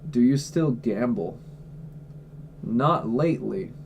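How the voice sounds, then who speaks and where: A man's voice: medium pitch, expressive, takes it slowly, vocal fry, slight background noise. A man livestreaming from home, answering chat questions in a blunt, offhand way and swearing freely. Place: at home indoors.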